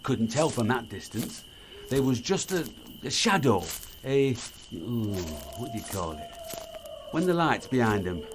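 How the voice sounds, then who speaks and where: An actor playing a blunt, grumbling middle-aged British ex-miner, gruff and wary, in an audio drama. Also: male voice, mid-range voice, sing-song, unhurried, slight background noise. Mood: matter-of-fact. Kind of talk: naturalistic audio drama acting.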